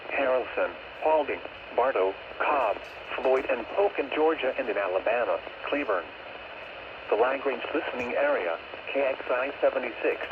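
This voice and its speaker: A man reading a formal, scripted alert test announcement in a measured, synthetic-sounding way over weather radio. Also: mid-range voice. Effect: radio broadcast sound. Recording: muffled, noisy weather radio broadcast.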